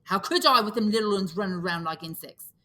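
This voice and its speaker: A woman's voice: mid-range voice, fast talker, pushed and bright. A woman voicing a fretful, indignant schoolboy who talks in pleading, breathless outbursts.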